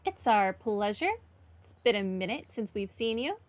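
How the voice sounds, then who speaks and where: A woman's voice: mid-range voice, big pitch swings, moderate pace. A woman game streamer giving casual playthrough commentary in a dry, wry manner.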